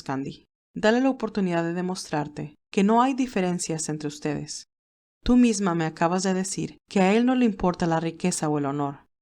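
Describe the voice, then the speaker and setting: A woman playing a wise, gentle older motherly mentor, speaking in a measured, reassuring way in an audio drama. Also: fairly low pitch. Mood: gentle counsel. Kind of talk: audio drama character acting.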